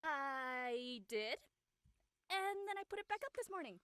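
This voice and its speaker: A woman's voice: high-pitched, big pitch swings, unhurried, a bit bright, dry recording, studio quality, heavily acted. A woman voicing a blunt, breezy, unapologetic teenage girl in a comedy drama. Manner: breezy, matter-of-fact, teasing. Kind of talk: comedic scripted audio drama dialogue.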